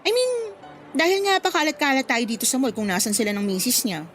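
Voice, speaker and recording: An actress playing a feisty, gossipy mistress in a Filipino radio drama, with a sassy, exclamatory delivery. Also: female voice, high voice, very animated, fast talker, a bit bright, faint music behind. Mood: playful, uneasy. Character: feisty, gossipy mistress venting to her fellow mistress.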